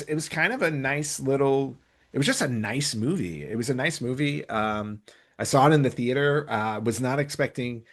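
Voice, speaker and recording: A man hosting a podcast in a casual, conversational commentary style. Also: medium pitch. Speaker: podcast host. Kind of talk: casual chat, countdown commentary.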